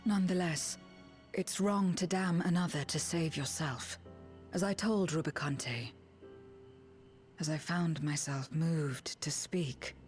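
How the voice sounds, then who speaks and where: A solemn, detached woman from another world speaks very slowly in a low, breathy tone. It is stoic fantasy game dialogue, with faint music underneath. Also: darkish tone. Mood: earnest, pensive. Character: solemn, detached otherworldly woman, once mortal, blunt and wary. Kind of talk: scripted video game dialogue. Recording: music behind the voice.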